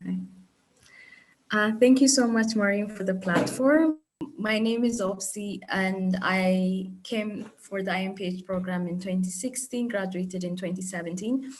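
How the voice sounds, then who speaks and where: A woman from Ethiopia, a program alumna, speaking earnestly with many pauses and fillers in an online info session. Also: mid-range voice, slight background noise, poor audio. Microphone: video call.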